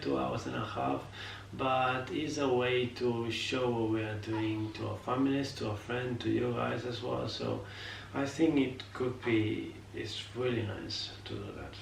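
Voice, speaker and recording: A man's voice: medium pitch, lively intonation, a little slow, vocal fry, slight background noise. Young spanish guy with an accent, lots of ums, sounds far from the mic in an echoey room. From Spain. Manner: hesitant, many filler sounds, apologetic about his English. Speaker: vlogger. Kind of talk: casual chat.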